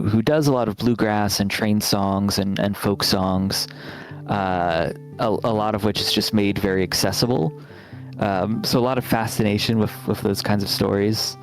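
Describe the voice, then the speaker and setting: A man who works as a game developer, giving casual interview answers in a thoughtful, halting way. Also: on the low side. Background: background music.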